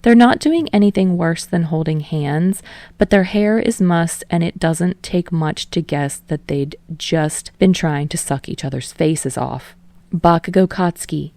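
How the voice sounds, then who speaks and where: A woman's voice: low voice, gravelly, dark tone, dry recording. A woman reading a fiction story aloud as narrator, in a scripted narration style. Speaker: audiobook narrator.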